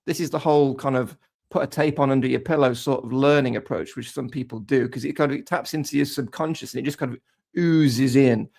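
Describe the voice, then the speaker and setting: Gravelly man bantering on a trading stream. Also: medium pitch, steady tone, a little mellow. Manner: playful, teasing, quick asides. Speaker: crypto analyst co-host. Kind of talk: joking banter.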